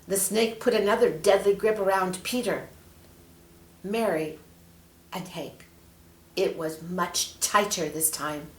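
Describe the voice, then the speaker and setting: Slow-reading woman storyteller in echoey room. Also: medium pitch. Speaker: children's story reader. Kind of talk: expressive storybook narration.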